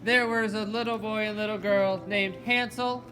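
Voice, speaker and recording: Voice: mid-range voice, steady tone, moderate pace, poor audio. A storyteller narrating a fairy tale for a children's puppet show. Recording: loud music alongside the voice. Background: music.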